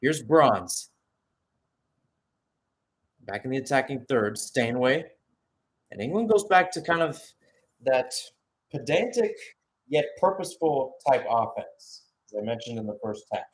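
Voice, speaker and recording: A man works as a play-by-play commentator on a live soccer match. His voice sits at an ordinary male pitch. He describes the play in short calls and fills the gaps with conversational asides. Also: sing-song, measured pace, long pauses.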